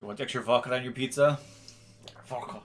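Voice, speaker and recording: Fast-talking man chatting on a game stream. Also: medium pitch, fairly bright tone. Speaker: co-host playing a board game on a livestream. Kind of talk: casual chat.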